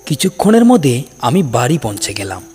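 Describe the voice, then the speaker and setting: Animated male storyteller in heavy reverb. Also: mid-range voice, brisk. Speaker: storyteller narrating a first-person ghost tale. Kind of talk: dramatic scripted narration for a cartoon horror story. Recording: long cavernous reverb, music underneath. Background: background music.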